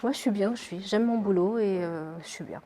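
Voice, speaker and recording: A woman's voice: medium pitch, brisk. A woman medical secretary talking casually and plainly in an interview, in colloquial everyday speech. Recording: faint background noise, room ambience.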